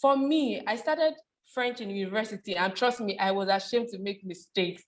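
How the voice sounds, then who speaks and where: A woman hosting a webinar over a video call, bubbly and quick to laugh as she thanks and introduces speakers. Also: mid-range voice. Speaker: webinar host.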